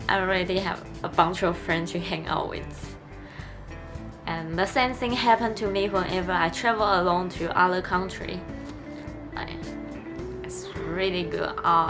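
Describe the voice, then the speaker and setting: A young woman vlogger whose first language is Mandarin, chatting casually and encouragingly to her viewers, with plenty of fillers. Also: mid-range voice. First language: Mandarin Chinese. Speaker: travel vlogger. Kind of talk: casual vlog talk to camera. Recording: music playing behind.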